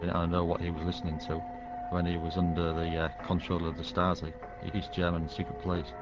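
English man, deep voice, casual interviewee, dull recording, prominent music behind. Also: monotone, brisk. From England. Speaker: interviewee, radio hobbyist. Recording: muffled audio under loud music.

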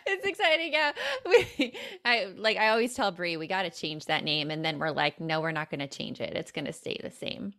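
A woman's voice: fairly low pitch, lively intonation, normal speed, sharp tone, no room sound, studio quality. A woman hosting a parenting podcast in casual, chatty conversation, laughing often. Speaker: podcast host. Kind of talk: casual chat.

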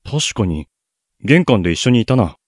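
A man's voice: lowish voice, big pitch swings, moderate pace, breathy edge, dry recording, studio quality. A young man playing a blunt, sulky, bashful teenage schoolboy in a radio drama. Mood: embarrassed, gruff. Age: young adult. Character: grumpy, bashful lovestruck schoolboy Ryo. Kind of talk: Japanese student radio drama acting.

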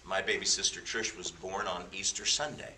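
Bright-voiced man preaching from a distance. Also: deep voice, fairly even intonation, normal speed, a little hiss or hum. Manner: jokey, self-deprecating asides. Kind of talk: informal sermon with personal stories. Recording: roomy sound from away from the mic.

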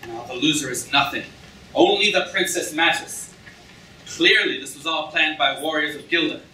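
Man playing a bright-voiced pompous royal. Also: fairly high pitch, normal speed. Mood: commanding. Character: pompous, wary royal villain tracker. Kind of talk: amateur staged reading of a comic fantasy play. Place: on stage in a hall, audience camera. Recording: echoey distant stage recording, faint noise.

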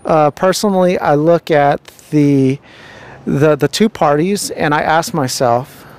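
A man's voice: a little high, unhurried, darkish tone, clean tone. A young man with a handheld mic interviewing people outdoors on a city street, talking earnestly and preachily with many fillers and restarts.

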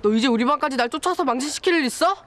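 An actress playing a haughty, status-conscious city schoolchild who scolds, in a children's TV drama. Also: female voice, high voice, lively intonation, very fast. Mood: indignant, haughty.

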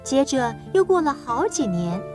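A woman narrator telling a children's story in a scripted style, with clear, measured emphasis. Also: high-pitched, sing-song, over music.

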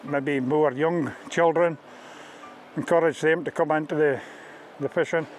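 A middle-aged man with a Scottish accent, working as a fishing ghillie, explains things informally in a halting, plain-spoken way. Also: on the high side, fairly even intonation. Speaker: fishing ghillie on a river beat. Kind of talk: informal interview-style explanation of a fishing beat. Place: outdoors on a riverbank. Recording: outdoor ambient noise behind voice.

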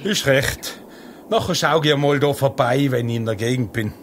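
An actor playing a dismissive, blunt shepherd farmer with a Tyrolean Austrian accent in a satirical radio play. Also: male voice, mid-range voice, moderate pace, slight background noise, natural voice. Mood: stubborn. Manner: dry, blunt, colloquial.

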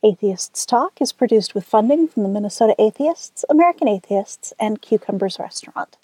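A woman's voice: medium pitch, expressive, a little fast, dark tone, breathy edge, no echo. A woman hosting a radio show, reading scripted announcements.

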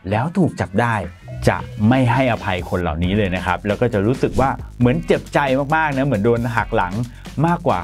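A man in his thirties explains a topic straight to camera, his pitch rising and falling with lively swings. He keeps a polite, chatty tone, recorded close on a lapel mic in a studio with soft music faintly underneath. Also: a little fast. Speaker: presenter. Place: bright studio in front of a plain grey backdrop.

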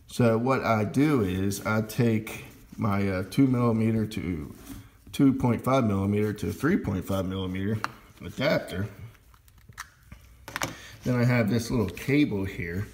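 A man giving a casual, unscripted hands-on demonstration of his hobby equipment, talking in a halting way. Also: on the low side, darkish tone. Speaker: amateur radio hobbyist demonstrating gear.

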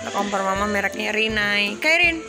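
A woman's voice: medium pitch, animated, moderate pace. A woman in her thirties, a mother chatting to viewers and coaxing her kids while vlogging into a phone held out in a big indoor store. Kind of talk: casual family vlog. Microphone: phone held at arm's length. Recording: loud background music. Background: store music playing loudly.

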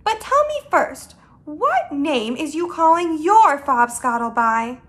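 A woman voicing an excitable, indignant giant man in a storybook, with muddled grammar and emphatic exclamations. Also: high-pitched, pitched above the performer's own voice, exaggerated voice. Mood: appalled. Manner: emphatic, exclaiming, drawn-out words in mangled grammar.

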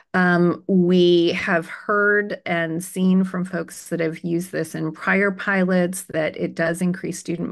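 Female webinar host, low register with noticeable fry, conversational and explanatory, presenting updates on a video call. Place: online video meeting. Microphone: video call microphone.

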